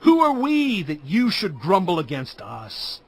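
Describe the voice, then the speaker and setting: An actor playing Moses, a fervent and reverent prophet, declaiming scripture in a measured way. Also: male voice, high-pitched, huge pitch swings, a little mellow, slightly rough, exaggerated voice. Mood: stern, exhorting. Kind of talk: dramatized scripture recitation.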